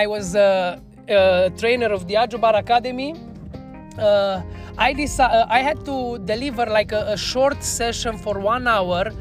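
A woman with a Romanian accent, a native Romanian speaker talking in English, telling a candid, self-reflective story as a podcast guest. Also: fairly high pitch. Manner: earnest, self-reflective, non-native phrasing. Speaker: bar trainer, podcast guest.

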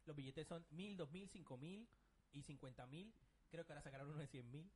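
A man's voice: on the high side, brisk, slight background noise. A man from Colombia, co-hosting a casual group chat about games. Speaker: co-host of a gaming show. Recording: loud crowd of voices behind.

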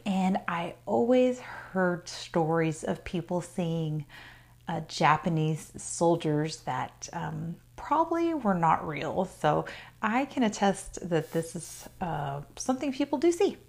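A woman's voice: on the low side, very animated, takes it slowly, creaky, a little mellow. A woman hosting her own podcast, talking casually and chattily about folklore with fillers and asides. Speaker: podcast host. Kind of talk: casual solo podcast talk.

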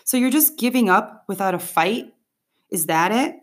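A woman's voice: mid-range voice, lively intonation, moderate pace, lightly acted. A woman reading the part of a bossy, eager fifth-grade boy rallying his friends in an audiobook. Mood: eager, insistent.

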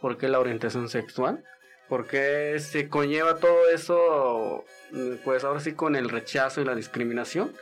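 A man who grew up speaking Wixárika gives conversational interview answers as an activist. His voice sits at an average pitch. Music plays behind him. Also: normal speed. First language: Wixárika (Huichol).

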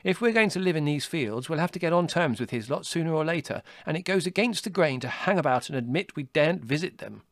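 A man's voice: a little high, lively intonation, fast talker, few pauses, a bit bright, dry recording, pitched above the performer's own voice. A man reading a storybook, voicing a dashing young male rabbit who tells stories. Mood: fiery.

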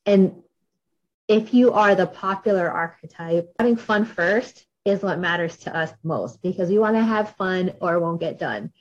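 Host: upbeat talkative woman, low-ish pitch, lo-fi recording. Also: normal speed. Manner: bubbly, chatty, many fillers. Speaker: podcast host. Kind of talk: podcast interview. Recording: dull lo-fi audio.

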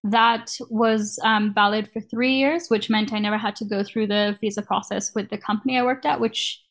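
A woman's voice: medium pitch, steady tone, moderate pace. A woman in her thirties from Kenya, chatting casually as an interview guest and laughing easily.

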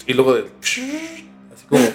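A man's voice: on the high side, wildly swooping pitch, normal speed. A man with a Mexican accent telling a story in a casual, slangy podcast chat as host. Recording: music bed behind the talk. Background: music.

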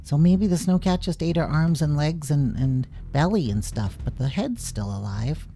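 A man's voice: a little high, animated, normal speed, mellow, faint music behind, pitched above the performer's own voice, lightly acted. A man doing a naive little tailed creature. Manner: naive, blunt, oddly cheerful. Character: small silly male creature with a tail. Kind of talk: storybook character voice.

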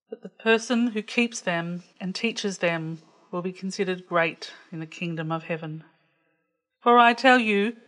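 A woman reading a gospel text aloud in a measured, clearly phrased way. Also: mid-range voice, a bit bright. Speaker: scripture reader.

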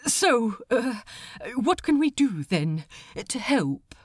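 A woman's voice: a little high, sing-song, slow, breathy edge, no echo, studio quality, full character voice. A woman voicing an earnest, fussy, anxious male angel in a storybook reading. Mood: eager, awkward. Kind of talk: acted audiobook dialogue.

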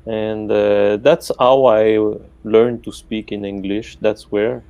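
Low, mellow Italian-accented man. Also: fairly even intonation, takes it slowly, creaky, smooth, dull audio. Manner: hesitant, many fillers and restarts. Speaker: researcher guest. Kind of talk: casual academic conversation.

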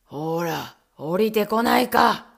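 A woman's voice: deep voice, expressive, measured pace, creaky, voice pushed down, exaggerated voice. Woman doing a bellowing cruel villain husband. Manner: bellowing, sneering threats.

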